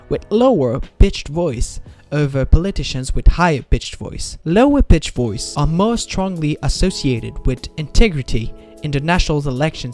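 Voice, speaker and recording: A man's voice: a little high, a little mellow. Man narrating a scripted video essay over music.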